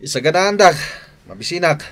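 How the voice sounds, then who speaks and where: A petulant, demanding grown son in an Ilocano radio drama. His high voice lurches widely up and down, rude and insistent, with an Ilocano accent. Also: expressive, normal speed, fairly bright tone.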